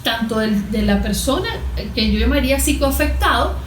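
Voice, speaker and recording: A woman's voice: on the high side, animated, normal speed. A Venezuelan woman explaining things as a guest therapist in a conversational interview, often voicing little imagined examples. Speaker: guest expert, cognitive therapist. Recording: echoey, roomy sound.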